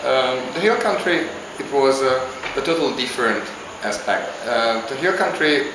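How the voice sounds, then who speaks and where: A man from Hungary, answering interview questions in a halting, casual way as he searches for words. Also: mid-range voice, animated, noisy background. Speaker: interviewee, visiting traveler. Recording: very echoey with clear background ambience.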